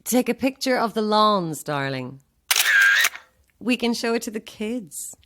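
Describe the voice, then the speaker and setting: A woman's voice: medium pitch, animated, unhurried, very noisy, lightly acted. A woman playing an anxious, self-deprecating actress in an audio drama, chatty and wry with a theatrical streak. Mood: playful. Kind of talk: audio horror-comedy drama acting.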